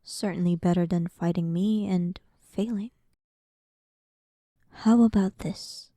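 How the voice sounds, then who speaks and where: Slow, dark-voiced sarcastic demon queen, acted by a woman. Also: lowish voice, steady tone, no echo, studio quality. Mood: coaxing, smug. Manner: slow, teasing, condescending, dryly sarcastic. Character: dominant, sarcastic demon queen, playfully teasing, bored, haughty. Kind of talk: scripted roleplay audio, intimate one-sided dialogue.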